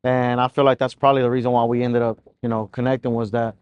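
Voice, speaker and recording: A male podcast host in a laid-back, chatty mood, with an ordinary mid-pitched voice. He asks his questions easily and conversationally. The recording is dry and close. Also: little pitch movement, fast talker, a little mellow, smooth, no echo, dull audio. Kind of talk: casual podcast interview.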